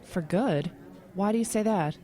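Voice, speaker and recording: A woman's voice: medium pitch, measured pace, warm tone, natural voice. An actress playing a curious, puzzled woman friend in an audio drama, speaking in short, questioning, conversational phrases.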